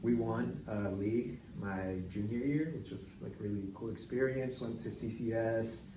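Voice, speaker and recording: A young man from Mexico City chatting as a podcast guest, laid-back and peppering his speech with "like." He sits well back from the mic in an echoey room with steady noise in the background. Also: fairly low pitch, moderate pace, distant mic. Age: young adult. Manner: relaxed, filler-heavy storytelling with many "like"s. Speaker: real estate agent, podcast guest. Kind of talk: casual interview chat.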